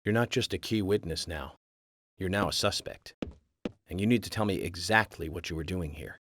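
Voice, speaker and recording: Low, husky investigator. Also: male voice, normal speed, a bit bright, no room sound. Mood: stern, accusing. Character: cool, probing male investigator interrogating suspects. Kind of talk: video game cutscene acting.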